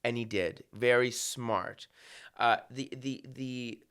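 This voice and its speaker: A man's voice: mid-range voice, slow, a bit bright, clean tone. A conductor being interviewed on a podcast, talking in a reflective way and often restarting his sentences. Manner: reflective, many restarts and fillers.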